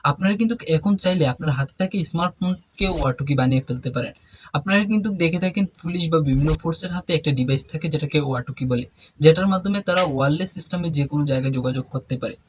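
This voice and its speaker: A man's voice: fairly high pitch, rapid-fire. A man hosting a step-by-step app tutorial, addressing viewers directly in an instructional, repetitive way. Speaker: tech tutorial host. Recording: faint background noise.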